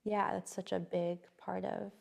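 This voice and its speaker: A soft-voiced woman, a playwright guest on a podcast, talking in a hesitant, reflective way with many fillers and restarts. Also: mid-range voice, little pitch movement, unhurried, gravelly. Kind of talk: casual podcast conversation.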